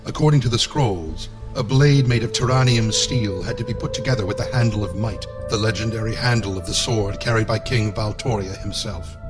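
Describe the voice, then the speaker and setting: A man narrating a scripted fantasy game story in a measured, solemn storytelling manner. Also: on the low side, over music, close to an everyday voice.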